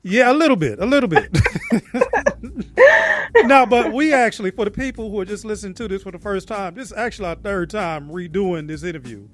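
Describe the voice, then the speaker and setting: A man's voice: sits high, animated, a little fast. A man hosting a podcast interview in a laid-back way, often repeating himself. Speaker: podcast host. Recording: loud hip hop music behind. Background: hip hop music.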